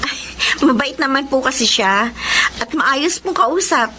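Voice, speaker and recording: Brief: a meek, high-voiced, respectful young woman, faint hiss. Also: expressive, measured pace, full character voice. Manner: soft, respectful. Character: polite, pious young woman shop worker.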